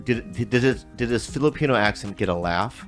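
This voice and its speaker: A man co-hosting a comedy podcast, chatting casually with halting, repeated words. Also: on the low side, faint music behind. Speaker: podcast co-host.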